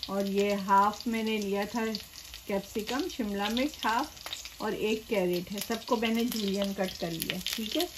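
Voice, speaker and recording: A woman's voice: mid-range voice, fairly even intonation, unhurried. A woman cooking show host patiently walking viewers through a recipe step by step in her home kitchen. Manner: patient, repeats steps, addresses viewers directly. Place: home kitchen, voice over stovetop sizzling. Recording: audible frying and stirring noise.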